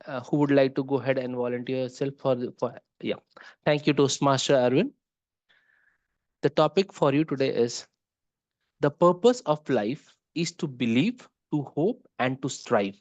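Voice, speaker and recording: A man's voice: mid-range voice, normal speed, a little mellow, dry recording. Orderly man hosting an online meeting. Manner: orderly, explaining rules, light fillers. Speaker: meeting host leading impromptu speaking. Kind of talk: hosting a club meeting on a video call. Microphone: video call.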